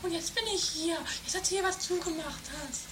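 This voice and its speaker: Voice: extremely high pitch, steady tone, a little fast, background noise. A performer playing an earnest young girl escaped from kidnappers in an improvised radio play.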